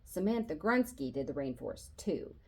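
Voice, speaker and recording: A woman reading a children's novel aloud as an expressive storyteller, narrating in the voice of a boy and acting out the dialogue. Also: sits low, moderate pace, breathy edge. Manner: animated, acts out dialogue.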